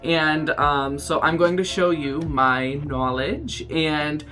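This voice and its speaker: A man's voice: a little high, sharp tone, soft background music. Chatty self-mocking man in his twenties. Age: 20s. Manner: chatty and self-mocking, many ums and quick giggles. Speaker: drag queen makeup creator. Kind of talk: casual makeup tutorial commentary.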